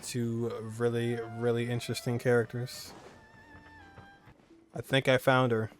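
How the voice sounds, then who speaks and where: A man with a medium-pitched voice chats casually as he streams a game, joking and reacting aloud to the dialogue. Faint game music runs behind him. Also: monotone, unhurried. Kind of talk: casual playthrough commentary.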